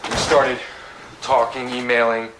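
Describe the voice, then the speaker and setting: An actor playing a remorseful, listless fiancé in his late twenties in an audio drama, speaking haltingly. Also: mid-range voice, little pitch movement, noisy background, natural voice. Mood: downcast. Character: remorseful, listless 29-year-old bachelor fiancé.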